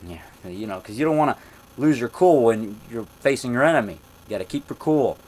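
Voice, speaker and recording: A man in his fifties telling a rambling story in a loud voice, repeating himself and getting worked up as he goes. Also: mid-range voice, expressive, a little fast, rough recording. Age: 50s. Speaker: monster movie maker telling a story. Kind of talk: casual storytelling monologue.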